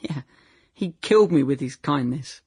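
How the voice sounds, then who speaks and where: An actor playing a naive young man in a radio drama monologue, recalling his childhood in a deadpan, chatty way, often correcting himself. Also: mid-range voice, normal speed, slightly rough, no echo, studio quality. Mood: wry, animated. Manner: deadpan, chatty, self-correcting, trailing into "yeah".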